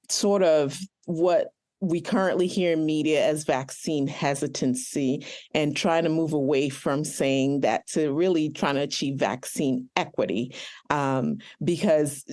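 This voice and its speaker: A woman pharmacist answering interview questions earnestly, with many pauses and ums; English is not her first language. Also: deep voice, a little mellow. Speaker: pharmacist guest.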